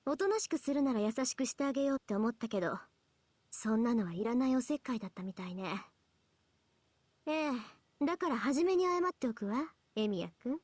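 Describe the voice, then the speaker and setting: A woman's voice: a little high, animated, racing, a little mellow, no room sound. An actress playing a proud, combative teenage girl mage in a Japanese visual novel, haughty and taunting in manner. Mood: cold, haughty.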